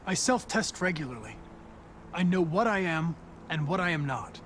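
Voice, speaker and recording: A composed android detective. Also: male voice, fairly high pitch, moderate pace, slight background noise. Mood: calm, composed. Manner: even, polite, composed. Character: calm young-looking male android detective. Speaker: police investigator partner. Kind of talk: video game cutscene acting. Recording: game score music behind. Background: music.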